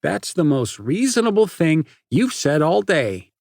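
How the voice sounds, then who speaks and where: A man's voice: on the high side, wildly swooping pitch, measured pace, a bit bright, husky, no echo, clean studio sound, voice pushed up, exaggerated voice. A man voicing a cruel, taunting teenage boy who talks in a cold, hard, sneering way. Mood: jeering.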